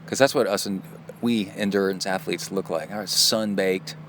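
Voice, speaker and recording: A man's voice: low-pitched, fairly even intonation, moderate pace, a bit bright, slight background noise. A middle-aged man hosting a podcast outdoors in a park, talking in a loose, chatty way with jokey asides. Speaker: podcast host and triathlon coach. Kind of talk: casual rambling podcast monologue.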